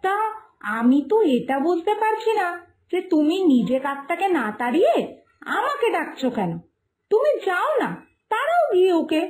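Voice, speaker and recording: A woman plays a lazy village daughter in a cartoon fairytale. The voice is very high and animated, whiny and irritated as she talks back defiantly. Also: normal speed. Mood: defiant, reasoning.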